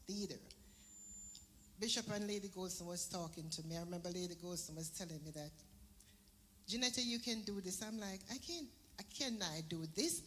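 A woman's voice: mid-range voice, a bit bright, a little hiss or hum. A middle-aged woman giving an emotional memorial tribute at a church service, speaking into a handheld mic. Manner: emotional, measured speech. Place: large church sanctuary. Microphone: handheld microphone. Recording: reverberant church hall.

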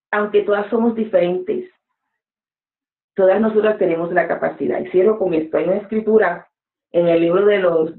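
A woman's voice: fairly low pitch, vocal fry. Earnest woman preacher on a tinny video call. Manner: earnest, exhorting, with gestures. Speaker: guest preacher. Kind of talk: motivational interview talk. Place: home room with bookshelves. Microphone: webcam on a video call. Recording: echoey room, phone-like sound.

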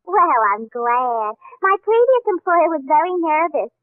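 An actress playing a scatterbrained, gushing young secretary who muddles big words, in 1940s radio comedy style. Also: female voice, high voice, sing-song, a little slow, dry recording. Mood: gushing, flustered. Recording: tinny vintage 1940s radio broadcast.

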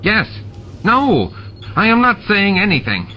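A man voicing a nervous, greedy male alien barkeeper who whines and pleads in a high voice. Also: huge pitch swings, a little slow, a little hiss or hum, heavily acted. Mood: panicky whining. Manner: whiny, panicky, high-pitched pleading. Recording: dull lo-fi audiobook with music.

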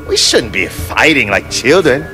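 An actor playing a sneering young male gangster in a video game, with a taunting, drawn-out way of talking. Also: mid-range voice, sing-song. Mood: mock-friendly. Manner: taunting, drawn-out, punctuated by snickering. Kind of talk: video game cutscene acting. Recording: game audio with music behind.

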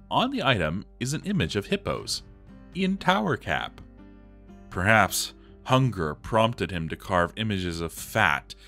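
A man's voice: low voice, fairly bright tone. A man voicing a gloomy, scornful dwarf settlement leader, reading his journal aloud in a theatrical, emphatic way. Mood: wry, emphatic. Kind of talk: dramatic journal narration over a game. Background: music.

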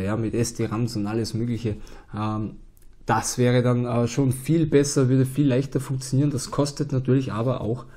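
A man in his thirties with an Austrian accent and a cold, chatting to camera as a board game channel host in a small room at home. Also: medium pitch. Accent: Austrian German. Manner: relaxed and chatty, with many filler "ja"s and "ähm"s. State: has a cold. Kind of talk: casual talk to camera.

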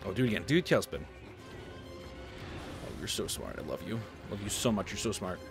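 A man's voice: on the low side, expressive, moderate pace, over music. A man in his thirties chatting casually while playing a video game at home, a little stuffy-nosed and rambling. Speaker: gaming streamer. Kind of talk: casual gameplay commentary. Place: home room, seated at desk.

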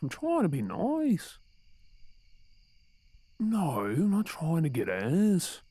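A man's voice: a little high, wildly swooping pitch, slow pace, warm tone, slightly rough, no echo, exaggerated voice. A man with an Australian accent playing an exasperated but tender boyfriend in an intimate, conversational audio roleplay. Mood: affectionate, reassuring.

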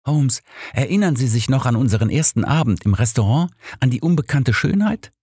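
A man's voice: medium pitch, expressive, fairly quick, mellow, husky, no room sound, studio quality, pitched above the performer's own voice. A man reading an audiobook, voicing an earnest, eager doctor who is a detective's loyal companion. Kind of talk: audiobook character dialogue.

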